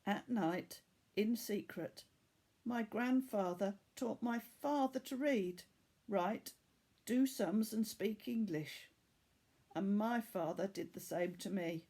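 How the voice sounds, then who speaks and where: A woman reading the part of a weary, earnest boy from Bangladesh in a storybook, telling his story in a measured way. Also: medium pitch, dark tone. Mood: earnest, confiding.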